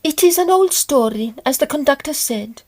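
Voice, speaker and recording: A high, refined voice for a genteel lady in a period audio play acted by a woman. She is proper and formal, with an anxious edge to her old-fashioned phrasing. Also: lively intonation, normal speed, a little mellow. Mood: worried, wistful. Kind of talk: period audio drama acting.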